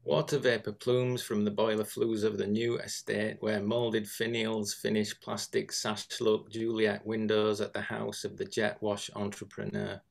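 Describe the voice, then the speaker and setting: A British man reading observational prose aloud in a deadpan manner. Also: lowish voice, unhurried, creaky, few pauses. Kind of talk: literary reading, observational narration.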